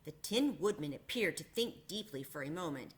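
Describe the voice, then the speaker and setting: A woman reading a children's book aloud, narrating with expressive storytelling. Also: low-pitched, normal speed. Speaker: reader.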